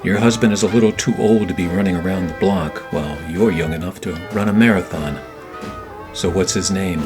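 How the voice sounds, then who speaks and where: A man playing a weary, wry 1940s private eye in a noir radio play, wisecracking and narrating in a deadpan manner. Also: low voice, brisk, over music, close to an everyday voice.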